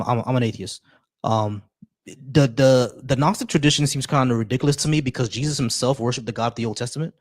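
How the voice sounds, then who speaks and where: A man chats loosely on a live stream, welcoming viewers and trailing into little restarts. His voice sits at an average pitch for a man. The tone stays casual and unhurried. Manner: laid-back, shout-outs to viewers, many restarts. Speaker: livestream host.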